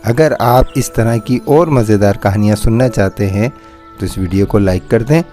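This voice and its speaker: A man's voice: lowish voice, moderate pace, warm tone, soft background music. A man reading a scripted outro as the narrator of a channel.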